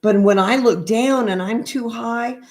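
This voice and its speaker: A middle-aged woman in a gentle read-aloud style with casual, chatty asides and a halting, unhurried manner. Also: fairly high pitch. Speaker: spiritual healer reading a picture book aloud.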